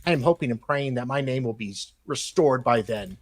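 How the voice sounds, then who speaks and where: A man's voice: mid-range voice, fairly quick. A man hosting a live show, reading emails aloud in a dramatic style and breaking off for casual, mocking asides.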